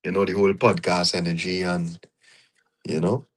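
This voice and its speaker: Low-voiced Trinidadian man telling his story. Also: little pitch movement, normal speed. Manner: reflective, storytelling, many "you know"s. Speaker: podcast guest. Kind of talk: casual interview conversation.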